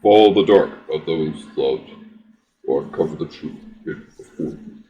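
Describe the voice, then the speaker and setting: Guy doing deep cryptic prophet from a game, solemn and mellow, bit of background noise. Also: moderate pace, dull audio. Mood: solemn, ominous. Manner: solemn, portentous. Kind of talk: theatrical game monologue.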